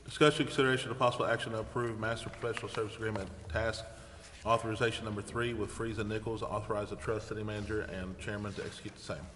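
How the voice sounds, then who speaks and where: A man's voice: on the low side, level tone, fast talker, few pauses. A man speaking formally as chair of a procedural meeting in a council chamber. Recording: reverberant council chamber, clearly noisy.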